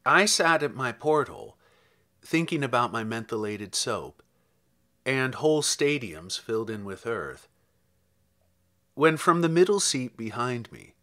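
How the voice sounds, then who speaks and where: A man reading his own literary poems as a poet, with measured, deliberate phrasing and dramatic emphasis. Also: mid-range voice, sing-song, pushed and bright.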